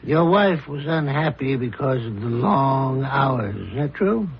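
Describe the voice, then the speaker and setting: An actor playing a probing, self-assured psychiatrist in a 1970s radio drama, questioning a patient in a deliberate, insistent manner. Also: male voice, mid-range voice, lively intonation, vocal fry, a crowd in the background. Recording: dull, lo-fi radio drama recording.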